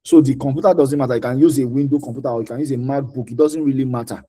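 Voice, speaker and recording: A man's voice: mid-range voice, steady tone, racing, mellow, breathy edge. A man with a Nigerian accent giving informal career advice in a mentoring session, repeating key points for emphasis. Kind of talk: informal advice talk answering audience questions.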